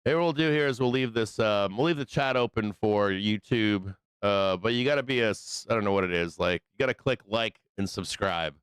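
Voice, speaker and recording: A hoarse Midwestern American man in middle age, talking low and loose on his live trading stream. His worn voice still comes through very clean and close on a dry, tight mic. He rambles, swears freely and leans on ums. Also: brisk, few pauses, fairly bright tone, no echo. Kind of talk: casual profane live-stream chat.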